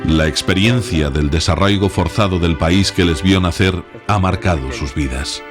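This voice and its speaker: A man's voice: deep voice, normal speed, over music. A man narrating a documentary from a script.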